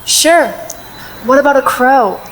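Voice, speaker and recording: A woman playing a sharp-tongued old widow in an audio play, dry, blunt and sarcastic. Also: sits high, slow pace, a little hiss or hum, rough recording.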